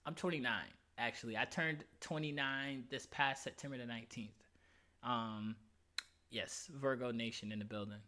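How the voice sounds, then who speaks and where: A man's voice: medium pitch, steady tone, normal speed, bright, forceful tone. A man in his late twenties talking casually on a livestream, candid and emphatic, reading chat comments aloud. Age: 20s. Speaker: streamer.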